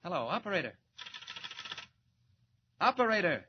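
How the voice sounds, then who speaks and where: A man's voice: sits high, very animated, moderate pace. A man playing a mild-mannered reporter with a secret heroic side in a 1940s radio serial, often hesitant and flustered. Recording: dull old radio transcription with hiss.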